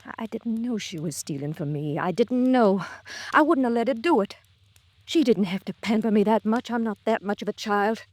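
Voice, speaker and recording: An actress playing a distraught, fragile American widow in an old-time radio drama, with a halting, emotional delivery. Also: female voice, mid-range voice, no echo, close to an everyday voice. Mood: anguished. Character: fragile, distraught grieving widow, self-blaming and defensive.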